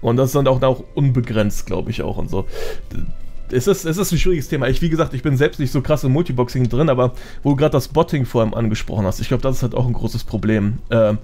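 Mid-pitched man chatting casually. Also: quick pace, mellow, soft background music. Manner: informal, slangy, explanatory. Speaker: podcast guest, gaming content creator. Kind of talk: casual chat.

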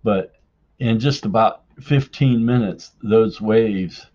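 A man tells a story in a fairly low voice, taking his time between phrases. His manner is casual and folksy. The sound is dull and lo-fi, like a voicemail message. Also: slow, a little mellow. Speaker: podcast listener leaving a voicemail story.

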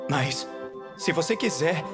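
A man's voice: fairly high pitch, expressive, takes it slowly, over music. A man with a Brazilian accent voicing a regal, lovelorn owl demon prince in a dubbed adult cartoon, formal, earnest and tender.

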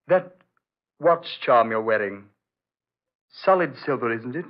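An actor playing a troubled, evasive gentleman doctor in a 1940s-style radio horror drama, courteous and genial but guarded. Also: mid-range voice, expressive, measured pace, close to an everyday voice. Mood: hesitant, guarded. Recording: thin, phone-like old radio recording.

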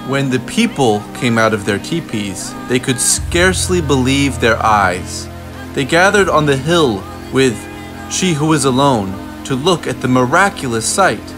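A man reading a children's picture book aloud as a narrator, in measured phrases with deliberate pauses. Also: medium pitch, lively intonation, music in the background. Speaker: storybook narrator.

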